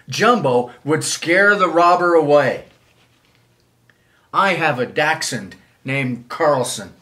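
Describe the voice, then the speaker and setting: An older man reads a children's storybook aloud in an expressive, measured way. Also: fairly high pitch. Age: older, 70s. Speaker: children's author reading own book. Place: small wood-panelled room, indoors.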